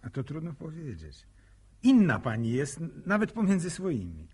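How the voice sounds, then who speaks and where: An actor playing a shy, curious young farmer in a radio drama, with speech that is hesitant, stammering and plain. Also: male voice, a little high, huge pitch swings, moderate pace, darkish tone, husky, full character voice. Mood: earnest, curious. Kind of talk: naturalistic radio drama acting.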